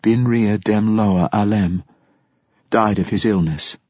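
A man voicing a battle-worn woman rebel soldier in a storybook, grave, deliberate and defiant. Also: fairly low pitch, steady tone, darkish tone, dry recording, muffled recording. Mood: grieving.

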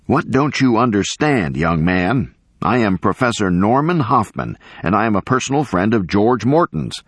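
An indignant old professor, acted out by a male narrator, declaims with pompous emphasis. His pitch leaps up and down as he rants like a storybook crank. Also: expressive, normal speed, husky, no room sound. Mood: indignant, haughty. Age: older. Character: ranting, unkempt, indignant elderly male professor.

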